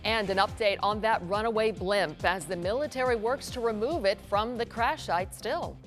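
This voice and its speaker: Woman, American news anchor, medium pitch, reading prepared broadcast copy. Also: moderate pace, faint music behind.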